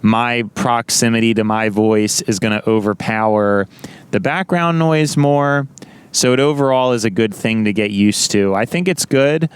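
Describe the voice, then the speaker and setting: A young man with a naturally loud voice, rambling casually to the camera with many restarts and self-corrections. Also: mid-range voice, moderate pace, clear, resonant tone. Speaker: vlogger.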